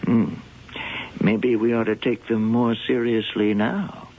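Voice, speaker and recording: An actor playing a dry, wry museum zoologist in a vintage radio drama, heard on a muffled old broadcast. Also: male voice, lowish voice, flat delivery, takes it slowly, very noisy. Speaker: zoologist professor. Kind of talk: 1970s radio drama acting.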